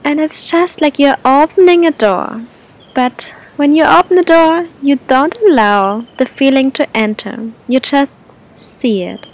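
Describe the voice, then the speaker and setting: A woman guiding a meditation in a gentle, soothing manner, speaking to the listener with long, unhurried pauses. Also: rough recording. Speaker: meditation guide. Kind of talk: guided meditation, spoken softly to the listener. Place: outdoors on a beach. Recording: outdoor recording with low rumbling noise.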